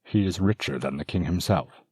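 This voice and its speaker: A stern older nobleman refuses his daughter's protests, firm and commanding, as a man puts him on. His voice is very deep and husky, in a dry room. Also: moderate pace, darkish tone, no room sound.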